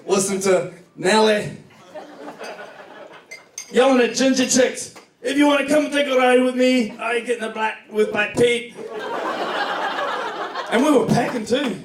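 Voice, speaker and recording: Echoey, high-pitched New Zealand male stand-up. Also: unhurried, pauses often. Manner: profane, joking storytelling. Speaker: stand-up comedian. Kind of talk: stand-up comedy set. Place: comedy club stage before a live audience. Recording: distant echoey club recording, noisy laughing crowd.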